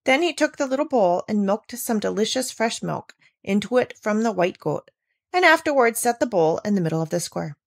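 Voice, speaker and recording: A woman reads a classic children's novel aloud at a steady, expressive pace. Her voice sits in a middle register. The recording is dry and close, with no room sound. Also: mid-range voice, creaky, dry recording. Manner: measured, expressive reading. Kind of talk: storybook reading aloud.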